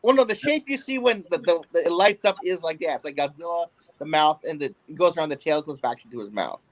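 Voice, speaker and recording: A man's voice: on the high side, expressive, quick pace, no echo, low-quality recording. A man co-hosting a casual chat over a video call, eager to explain details. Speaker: podcast co-host.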